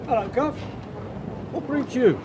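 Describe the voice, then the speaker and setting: An actor playing a shifty, grumbling London street informant in a radio drama, cheeky and evasive. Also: male voice, very high-pitched, expressive, measured pace, background noise. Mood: indignant, wary. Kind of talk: British radio drama acting.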